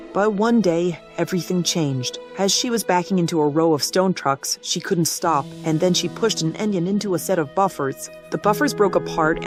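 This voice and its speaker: A man voicing a kindly steam engine in a cartoon dub, telling an old legend in a measured, earnest way. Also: on the high side, lightly acted. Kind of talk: comic dub cartoon storytelling.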